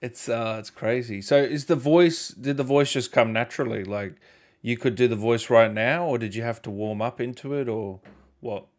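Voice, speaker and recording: A man's voice: mid-range voice, normal speed, darkish tone. A man in his thirties hosting a casual interview, chatting into a close microphone. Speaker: host, interviewer.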